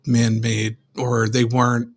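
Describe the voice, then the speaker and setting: A man's voice: medium pitch, monotone, slow pace. A man chatting casually as a panelist on a talk show. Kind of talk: casual chat.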